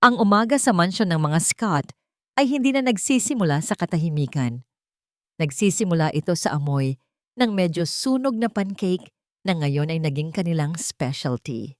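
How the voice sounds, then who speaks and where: A woman narrates a scripted drama as an audiobook reader. Her pitch is a little lower than most women's. The recording is dry, with very little room sound. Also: expressive, normal speed, dry recording.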